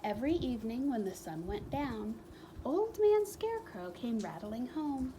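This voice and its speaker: A woman reading a children's book aloud as a storyteller, expressively, with dramatic pauses and emphatic repetition. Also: on the high side, big pitch swings, background noise.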